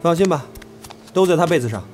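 Casting: flippant young idol played by a man, slightly high voice, highly expressive teasing, audio drama. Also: fast, a little hiss or hum, lightly acted. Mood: playful, cheeky. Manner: playful, bantering. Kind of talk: Chinese audio drama dialogue.

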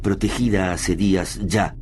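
Un actor argentino que hace de narrador solemne de un relato bélico, leyendo un guion de radioteatro. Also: male voice, low-pitched, steady tone, a little slow. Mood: measured, grave. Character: grave male storyteller of a war tale. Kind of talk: scripted radio drama narration. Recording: noisy background.

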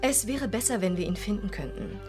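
Young female detective played by an actress, crime radio play: medium pitch, courteous, controlled, keeps pressing. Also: very animated, fairly quick, music in the background, natural voice. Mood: composed, insistent. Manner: polite, controlled, insistent questioning. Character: composed, persistent young female police detective. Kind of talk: radio crime drama acting.

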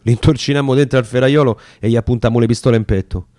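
A man's voice: medium pitch, steady tone, fast, a little mellow, dry recording, clean studio sound. A man with a Roman accent reciting narrative dialect sonnets as dramatic storytelling. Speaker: actor reading poetry.

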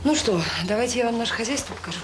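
An actress playing a warm, determined director of a children's home in a radio drama, brisk and plain-spoken with hesitant pauses. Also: female voice, a little high, animated, close to an everyday voice. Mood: welcoming. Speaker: orphanage director. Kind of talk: naturalistic radio drama acting. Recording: noisy old radio play recording.

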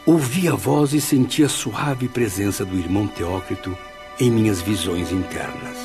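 A man's voice: lowish voice, normal speed, gravelly. An actor playing a humble, awestruck spirit who narrates his memoirs in a radio drama, earnest and reflective. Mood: reverent. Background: music.

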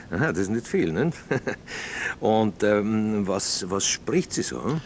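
An actor playing an amused, nosy late-night radio host who questions callers with teasing condescension. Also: male voice, on the low side, sing-song, slow pace, a little hiss or hum, heavily acted. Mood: teasing, intrigued. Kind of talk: radio phone-in acting.

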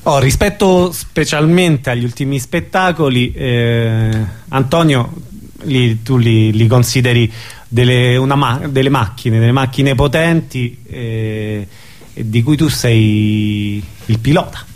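A man interviewing casually on radio, halting as he searches for words. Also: medium pitch, a little mellow.

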